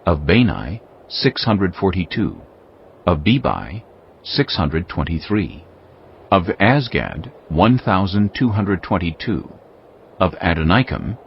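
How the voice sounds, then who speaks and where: Deep, expressive male scripture narrator. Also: gravelly, muffled recording, slight background noise. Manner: measured, formal. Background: music.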